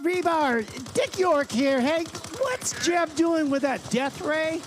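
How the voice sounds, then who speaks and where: A man's voice: very high voice, animated, takes it slowly, music in the background, exaggerated voice. A man playing an exasperated caller, a former sitcom actor out of his time, pleading and scolding in a comic radio skit.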